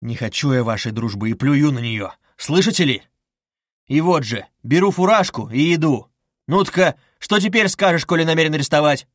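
A man's voice: a little high, lively intonation, normal speed, no echo, clean studio sound. A man voicing a proud, tormented, furious suspect in an audiobook, vehement, insistent and agitated. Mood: defiant. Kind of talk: dramatized audiobook reading.

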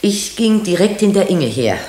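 Lowish-voiced candid woman doctor. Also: big pitch swings, moderate pace, warm tone. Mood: candid, recollecting. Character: candid, careful female hospital doctor recalling events. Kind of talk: German radio drama acting. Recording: roomy, echoing radio drama studio.

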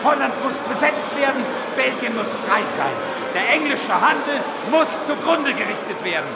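A revolutionary firebrand addressing an assembly in a radio drama. His voice is strained very high and crackles with gravel as he declaims forcefully. A loud crowd roars around him. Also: lively intonation, moderate pace, heavily acted. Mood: commanding, fervent. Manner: declamatory, forceful, shouting at peaks. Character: fiery male revolutionary orator rousing an assembly. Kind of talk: radio play political speech. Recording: echoey hall, loud roaring crowd.

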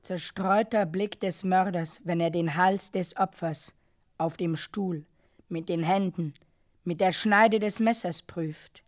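A woman performing a solemn narrator in a radio drama, speaking in a deliberate, measured way with weighted pauses. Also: on the low side, steady tone. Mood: grave, measured. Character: solemn female narrator pondering a violent, dreamlike image. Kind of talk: slow literary monologue in a radio drama.

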